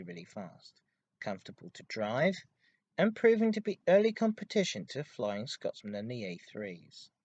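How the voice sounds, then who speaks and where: A man hosting a hobby podcast, giving an informative enthusiast monologue in a halting way with stressed intensifiers. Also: mid-range voice, a little mellow, lo-fi sound. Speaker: podcast host. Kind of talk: informative enthusiast monologue about railway history.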